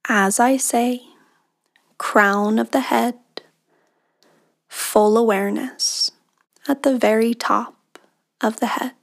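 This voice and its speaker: A woman leading a guided meditation in a hushed, breathy, soothing delivery with long pauses between phrases. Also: on the high side, steady tone. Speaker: meditation guide, podcast host.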